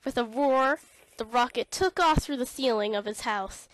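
A woman narrating a read-along children's storybook in an expressive, dramatic way. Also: fairly high pitch, moderate pace, no room sound, rough recording.

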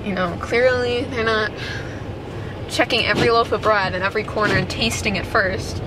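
A teenage girl filming herself on a handheld camera in a car and a store, delivering a deadpan, mock-tragic lament full of "you know"s. Her voice sits at a middle pitch, and heavy road and store noise runs under it. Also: normal speed. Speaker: vlogger. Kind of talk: mock-dramatic vlog. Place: car interior, then a store. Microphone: handheld camera at arm's length.

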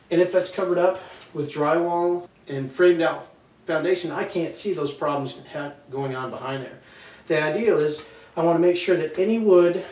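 A man's voice: a little high, darkish tone. A man in his thirties giving a home improvement walkthrough outside a house and in a basement, explaining step by step and correcting his own slips. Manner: explanatory, trips over words then corrects. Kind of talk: instructional walkthrough. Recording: roomy, distant, faint background hiss.

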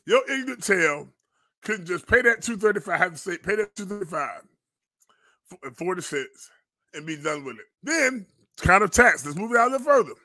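A man delivers commentary in a preacher's cadence. His voice is bright and forceful, recorded dry and close. He sounds indignant and emphatic, hammering phrases by repeating them. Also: high-pitched, big pitch swings, a little fast, no room sound. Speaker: pastor and online show host. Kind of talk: fiery live-stream commentary, preacher's cadence.

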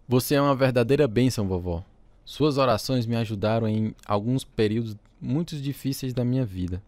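A man's voice: medium pitch, a little fast, a little mellow, no echo, lightly acted. An actor with a Brazilian accent playing an earnest, reflective pastor telling his life story in a radio drama. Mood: earnest, tender. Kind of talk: radio drama narration and dialogue. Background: guitar music.

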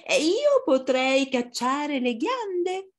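A woman's voice: high voice, big pitch swings, extremely slow, voice pushed up, full character voice. A woman voicing a lanky, cautious, peacemaking storybook fox, hesitant and drawing out the words. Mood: wary, musing. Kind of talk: children's storybook character voice.

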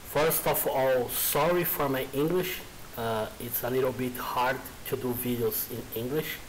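Brazilian man with halting English. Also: medium pitch, fairly even intonation, slight background noise, low-quality recording. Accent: Brazilian Portuguese. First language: Portuguese. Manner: halting, informal, many uh fillers. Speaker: software developer. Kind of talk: screen-recorded coding tutorial.

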